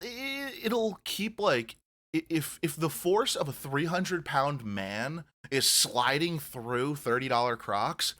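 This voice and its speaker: A man's voice: fairly high pitch, lively intonation, slow pace, sharp tone. A man co-hosting a casual podcast chat, rambling and swearing and often correcting himself mid-sentence. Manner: rambling, profane, self-correcting. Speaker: podcast co-host.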